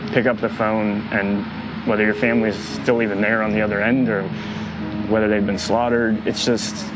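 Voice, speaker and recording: A man reflecting in an interview, speaking hesitantly with many pauses and restarts. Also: lowish voice, level tone, very noisy.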